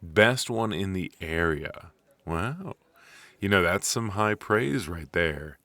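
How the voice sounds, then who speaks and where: Deep-voiced, rambling, flirty coffee date played by a man. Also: lively intonation, no echo, heavily acted. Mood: warm, curious.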